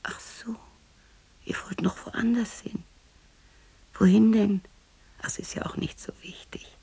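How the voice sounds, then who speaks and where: An actress in a radio drama playing a lonely, eccentric, defiant widow of about sixty who talks to herself theatrically in a husky, breathy voice. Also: female voice, mid-range voice, steady tone, a little slow, in a whisper, heavily acted. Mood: resigned, subdued. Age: 60s. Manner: theatrical, muttering to herself, swinging into shouts. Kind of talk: radio drama monologue acting.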